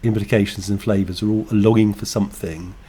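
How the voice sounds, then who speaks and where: An English man co-hosting a podcast, talking thoughtfully in halting, restarting sentences. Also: lowish voice, fairly even intonation, warm tone, slightly rough. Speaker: podcast co-host, game designer. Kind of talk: casual chat.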